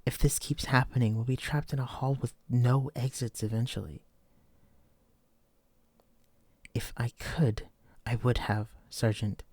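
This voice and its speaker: A man's voice: medium pitch, steady tone, moderate pace, a little mellow, no room sound, close to an everyday voice. A man playing a wary, protective psychic escapee in an immersive audio drama, speaking directly to a companion. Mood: relieved, earnest. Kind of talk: immersive roleplay audio drama, addressing the listener.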